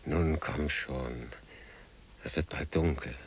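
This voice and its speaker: An actor playing a weary, brooding older man in a radio drama, speaking gravely in halting fragments. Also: extremely low pitch, fairly even intonation, slight background noise.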